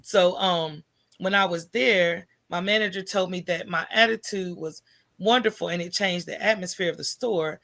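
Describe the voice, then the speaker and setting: An American woman talking as a podcast guest in an animated, colloquial storytelling way, candid and often checking in with "right?". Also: lowish voice, normal speed, gravelly.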